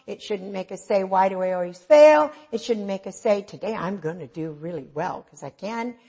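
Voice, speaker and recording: A woman with a low voice preaching an informal, earnest sermon, swinging from loud to soft. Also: lively intonation, moderate pace, vocal fry, slightly rough.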